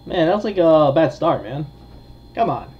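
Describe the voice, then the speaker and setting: A man's voice: on the high side, sing-song, measured pace, lo-fi sound, noisy background. A man giving casual commentary on a horror game, talking to his viewers and thinking aloud as he plays. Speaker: gamer, video host.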